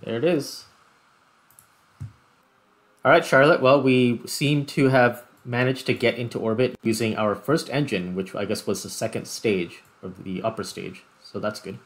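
A man's voice: medium pitch, measured pace. A man giving casual gameplay commentary as a video host, thinking aloud as he explains things.

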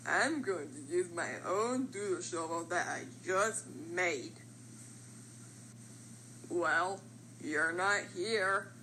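A woman voicing a confident, playful living triangle from a cartoon, talking through things in a deliberate way. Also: on the low side, pushed and bright, slight background noise, low-quality recording. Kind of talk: animated character voice lines.

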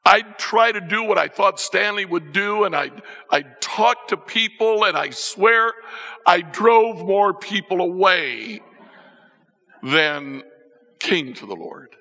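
Older pastor with a gravelly, lively voice. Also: male voice, high-pitched, slow, soft background music. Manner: storytelling, swings between soft and emphatic. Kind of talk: sermon. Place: church stage with band instruments. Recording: church hall reverb.

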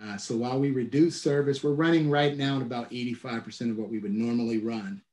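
Middle-aged man, transit leader, video call, measured and halting, echoey office room. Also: medium pitch, fairly even intonation, a little mellow. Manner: measured, restarts phrases, many "uh" fillers. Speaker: transit agency leader, keynote guest. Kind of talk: answering questions on a video call. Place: office at home or work.

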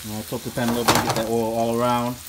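A man's voice: medium pitch, monotone, rapid-fire. A middle-aged man talking casually to viewers while he cooks dinner in his home kitchen, filmed on a handheld phone. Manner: laid-back, chatty, talks to viewers as "guys". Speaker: home cooking vlogger. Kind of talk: casual cooking vlog. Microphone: phone camera held while cooking. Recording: phone recording with cooking noise. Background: frying sizzle and kitchen noise.

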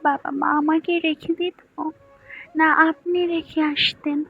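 Woman acting very high-voiced bossy young wife. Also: level tone, slow pace, creaky, phone-quality sound, exaggerated voice. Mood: curt, matter-of-fact. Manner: brusque, scolding, commanding. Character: bossy, blunt young newlywed wife, twenty-two. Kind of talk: acted romantic audio story dialogue. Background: music.

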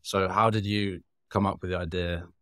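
A young man hosting an interview podcast over a video call, asking quick, curious questions. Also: low voice, little pitch movement, a bit bright, dry recording. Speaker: podcast host. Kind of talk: casual interview.

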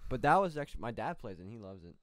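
A man's voice: fairly low pitch, big pitch swings, racing, no room sound. A young man co-hosting a casual gaming news show from a small home studio, rambling through the stories with plenty of fillers. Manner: rambling, loose delivery with many fillers. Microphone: studio mic on a boom arm.

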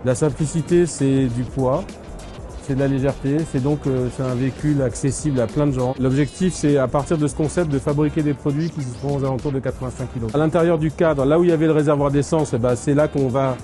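A middle-aged man, a motorcycle company executive, answering interview questions with quick enthusiasm and many fillers. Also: medium pitch, fairly even intonation, warm tone. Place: dark exhibition stand, indoors. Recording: background music.

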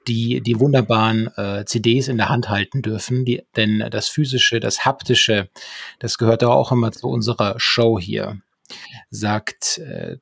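A man's voice: lowish voice, lively intonation, measured pace, vocal fry, a bit bright, husky, no room sound. A man co-hosting a podcast conversationally, with wordy, mock-formal flourishes, close to the microphone. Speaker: podcast co-host. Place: small home room with bookshelves. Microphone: close desktop microphone with headphones.

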